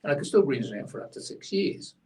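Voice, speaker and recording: A man's voice: medium pitch, very animated, quick pace, darkish tone, far from the mic. A man, blunt and opinionated, explaining a tax rule in casual chat as co-host, a little away from the mic.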